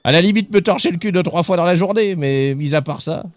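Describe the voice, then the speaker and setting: A man playing a pompous, eccentric gentleman in a roleplay game, theatrical and mock-offended. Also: sits high, a little fast, dry recording, lightly acted. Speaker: streamer. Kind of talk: in-character roleplay.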